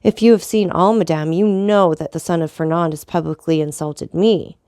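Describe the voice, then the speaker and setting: A woman reading an audiobook voices a vengeful middle-aged nobleman who speaks with bitter, measured calm. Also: a little high, clear, resonant tone, no room sound, clean studio sound, natural voice. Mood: icy calm. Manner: bitter, measured, coldly ironic.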